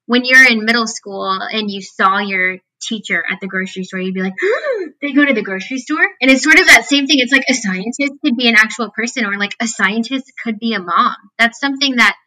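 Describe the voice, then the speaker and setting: A woman in her thirties with a squeaky, nasal voice, chatting animatedly as an interview guest and using a lot of filler words. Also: a little fast, hardly any pauses, rough recording. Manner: animated, talks with many fillers and acted-out quotes. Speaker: guest, fitness scientist. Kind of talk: casual interview chat.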